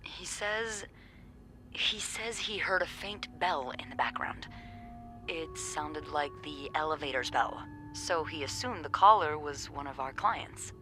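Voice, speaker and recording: Low-voiced, chatty young receptionist. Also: female voice, unhurried, over music. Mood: intrigued, helpful. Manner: chatty, playful slang, expressive. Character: chatty, wry young female hotel receptionist. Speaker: hotel receptionist character. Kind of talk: video game dialogue acting.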